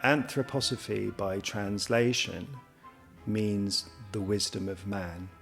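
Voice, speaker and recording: A man on a college's staff speaking earnestly and deliberately in a promotional interview. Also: on the low side, fairly even intonation, vocal fry, a bit bright. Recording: music behind the voice. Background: background music.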